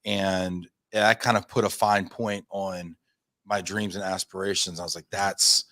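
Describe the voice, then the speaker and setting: Male guest, 30s, lower voice, chatty rambler, dry close recording. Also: level tone, unhurried, a bit bright, dry recording. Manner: chatty, self-deprecating, rambling storyteller. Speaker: guest, company founder. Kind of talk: casual interview talk.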